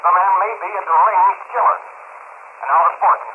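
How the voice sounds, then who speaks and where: An actor playing a formal, urgent radio newsreader in an old-time drama. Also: male voice, high-pitched, fairly even intonation, normal speed, close to an everyday voice. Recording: tinny old radio recording, faint noise.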